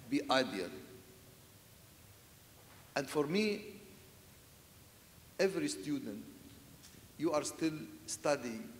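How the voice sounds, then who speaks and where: A man's voice: medium pitch, a little hiss or hum. A man answering on a festival panel, earnest and searching for words, often saying "you know". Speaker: panel speaker, church leader. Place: large festival hall with audience. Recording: echoey hall sound.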